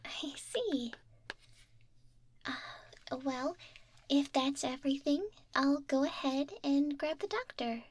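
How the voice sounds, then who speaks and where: A woman voicing a gentle, friendly young female clinic assistant in an ASMR roleplay, soft-spoken, polite and caring. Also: high voice, expressive, slow, long pauses. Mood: gentle, attentive.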